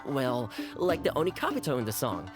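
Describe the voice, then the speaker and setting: An actor playing a calm, courteous, reflective young man in an anime video game, thoughtful and measured. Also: mid-range voice, over music.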